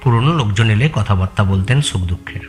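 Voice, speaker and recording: A man's voice: on the low side, lively intonation, brisk, lightly acted. A man acting a loyal, respectful long-time employee in an audio story, giving plain deferential explanations. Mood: respectful, reminiscing. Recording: music bed behind the voice.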